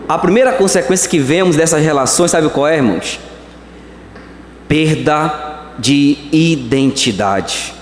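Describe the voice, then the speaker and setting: A Brazilian man preaching a sermon as pastor, swinging between slow emphatic words and quick phrases. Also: fairly high pitch, slight background noise. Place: church hall. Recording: echoey church hall.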